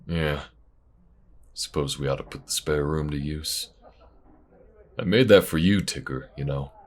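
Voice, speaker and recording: Blunt, good-natured desert workshop partner for audio roleplay male actor: rock-bottom pitch, slow, laid-back, plain-spoken. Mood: wry. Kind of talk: casual audio roleplay acting.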